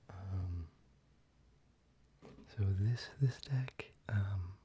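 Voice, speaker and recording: A man speaking very softly in a breathy, halting near-whisper, gently presenting things as an ASMR video host. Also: fairly low pitch, highs cut off, a little hiss or hum. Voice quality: soft, breathy, largely whispered. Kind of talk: soft-spoken ASMR, showing a card collection.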